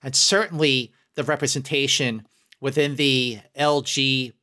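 A man's voice: medium pitch, fairly even intonation, measured pace, bright, forceful tone. A middle-aged man speaking as a guest critic in a podcast discussion, effusive and emphatic, often repeating words to drive a point. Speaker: guest film critic and entertainment journalist.

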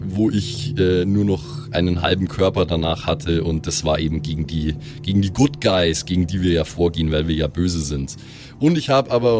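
A man chatting casually and jokingly as a player in a tabletop role-play group. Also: low-pitched. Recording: prominent music bed underneath. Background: background music.

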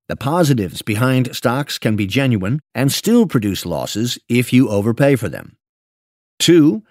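A man narrating a written financial piece aloud in a measured, articulate and deliberate way. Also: mid-range voice, a little mellow, dry recording, studio quality. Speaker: professional narrator reading an investment memo. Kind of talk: scripted narration, read aloud.

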